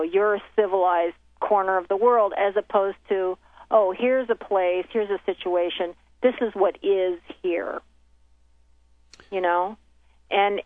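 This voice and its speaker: A woman's voice: mid-range voice, no echo. A woman from Haiti whose first language is Haitian Creole, a journalist guest on the phone who talks loosely with many restarts and fillers. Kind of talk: casual interview talk. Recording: tinny phone line.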